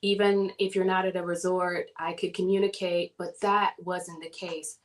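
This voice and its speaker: A woman from the southern United States, a guest in a casual interview, telling her story in an animated way and laughing often. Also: on the low side, moderate pace, rough recording.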